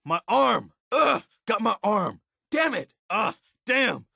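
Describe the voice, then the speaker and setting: A man's voice: high-pitched, big pitch swings, slow pace, gravelly, pushed and bright, husky, no echo, clean studio sound, full character voice. A man playing an earnest, principled militia soldier companion in a video game, loyal and battle-weary, speaking direct military phrasing in scripted dialogue. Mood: pained, strained.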